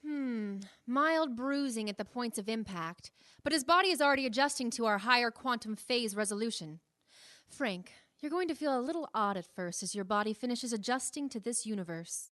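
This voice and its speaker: Woman playing a high-voiced, level-headed medic. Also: lively intonation, moderate pace, fairly bright tone, no echo, clean studio sound. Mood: clinical, reassuring. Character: level-headed, sympathetic female medical technician. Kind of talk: audio drama acting.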